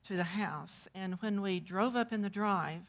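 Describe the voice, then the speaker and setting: A woman's voice: medium pitch, normal speed. Older woman recounting plainly. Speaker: interview guest. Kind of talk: recounting memories.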